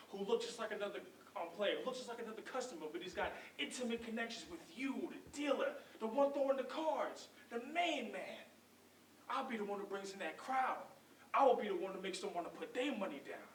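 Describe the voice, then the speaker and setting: A man performing an eager, scheming hustler in a theatrical monologue, talking persuasive, excited street talk. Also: sits high, fast, slight background noise, voice pushed up. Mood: excited, urging. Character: eager, scheming, smooth-talking hustler pitching his brother. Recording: echoey room from distant mic.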